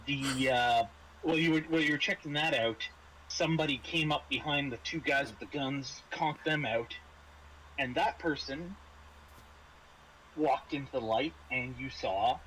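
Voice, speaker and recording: A man narrates a tabletop roleplaying game as game master. He talks casually and a little haltingly, with dry understated humour, over a home mic with faint background noise. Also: medium pitch, a bit bright, poor audio.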